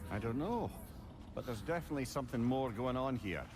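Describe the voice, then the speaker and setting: Erudite, chatty Scottish elder for a game male actor, animated mid-pitched delivery. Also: background noise, natural voice. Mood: thoughtful, wry. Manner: erudite, wry, measured explaining. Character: wise, chatty old male sage and storyteller. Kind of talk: video game acting.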